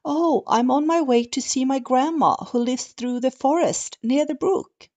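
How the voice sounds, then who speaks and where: A woman's voice: high voice, animated, measured pace, darkish tone, voice pushed up. A woman voicing a sweet, innocent little girl from a storybook, with a singsong, wide-eyed manner. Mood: cheerful.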